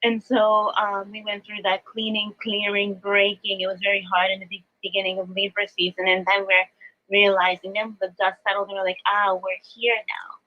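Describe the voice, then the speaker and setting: A woman's voice: medium pitch, completely flat delivery, fairly quick, no echo, phone-quality sound. A woman speaking as a spiritual teacher, explaining earnestly and reflectively in new-age language during a live coaching talk. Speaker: spiritual teacher, astrology adviser.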